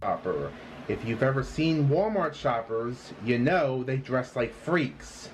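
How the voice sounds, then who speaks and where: Brief: man, mid-pitch, matter-of-fact lecturing commentary. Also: lively intonation, slow pace, a little hiss or hum, poor audio. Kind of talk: explanatory video commentary.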